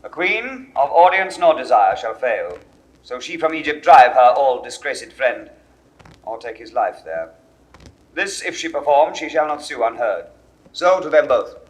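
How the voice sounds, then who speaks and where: An icy young Roman ruler in a vintage Shakespeare audio drama. He speaks in a steady, mid-pitched voice, curt and commanding. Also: unhurried, poor audio. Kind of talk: 1960s studio Shakespeare recording.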